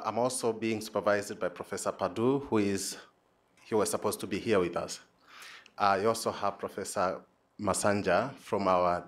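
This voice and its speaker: Tanzanian guy, kinda low voice, phd student doing a conference talk, hesitant and repeats words. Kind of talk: academic conference presentation.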